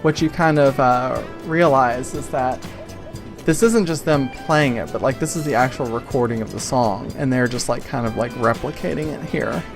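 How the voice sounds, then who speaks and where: A woman game master narrating an improvised tabletop game in a casual, halting way, pausing mid-sentence. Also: very deep voice, music in the background.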